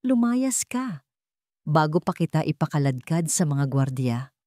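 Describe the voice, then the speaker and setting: A stern old male patriarch, voiced by a woman storyteller, speaking low with calm, firm weight. He is a wounded grandfather in a dramatic audio story, recorded close and dry. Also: measured pace, no echo.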